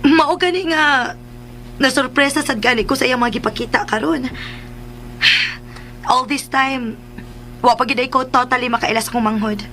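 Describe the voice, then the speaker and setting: A woman's voice: high-pitched, normal speed, pauses often, a bit bright, a little hiss or hum, rough recording. A woman playing a caring, puzzled younger woman in a radio drama, hesitant and confiding as she speaks.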